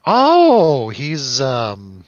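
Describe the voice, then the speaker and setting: A man in a casual film discussion with friends, talking informally with exclamations and halting restarts. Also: medium pitch, wildly swooping pitch, no room sound, highs cut off. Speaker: film review show host.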